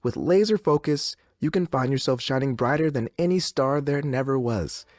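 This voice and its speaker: An actor playing a serene but eerily cheerful radio DJ with a deliberate, reassuring announcer delivery. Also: medium pitch, expressive, a little mellow, no room sound, clean studio sound, lightly acted. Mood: uncanny calm. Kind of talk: scripted fictional radio station patter.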